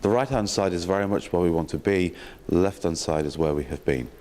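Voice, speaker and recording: A man with a slightly croaky voice giving a welcome speech at a health sector session, with dry self-mocking humour and many ums and restarts. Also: sits low, fairly quick, darkish tone. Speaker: opening speaker at a health sector learning session. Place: conference hall. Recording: long hall reverb.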